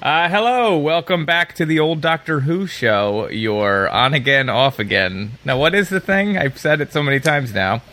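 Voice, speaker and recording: A man's voice: on the high side, animated, normal speed, hardly any pauses, sharp tone, soft background music. A man hosting a casual podcast. He is chatty, using many fillers and laughing often. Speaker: podcast host.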